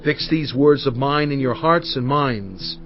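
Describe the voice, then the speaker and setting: A solemn prophet played by a male actor exhorts his people in a scripture drama. He speaks in a mid-pitched voice with earnest, measured oratory and weighty pauses. The sound is slightly dull, with faint music underneath. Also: close to an everyday voice. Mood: solemn, urging. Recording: dull studio track over faint music.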